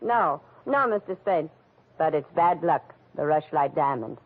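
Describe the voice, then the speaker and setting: Old society dowager, imperious and theatrical, very animated pitch, narrow old-radio fidelity. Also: female voice, measured pace. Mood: confiding, haughty. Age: older. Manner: haughty, dry, theatrical. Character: imperious, acid-tongued old wealthy society widow. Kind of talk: 1940s radio acting. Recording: muffled vintage radio broadcast recording.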